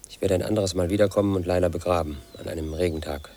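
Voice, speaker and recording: An actor playing a brooding, paranoid man in his thirties, telling his own story as a flat inner monologue in a radio play. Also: deep voice, fast, lightly acted. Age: 30s. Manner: flat, brooding, quietly obsessive.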